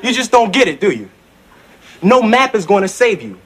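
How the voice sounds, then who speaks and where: A man's voice: sits high, very animated, normal speed, background noise. An actor playing a streetwise young man scolding his friend in a sitcom scene. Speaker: sitcom character.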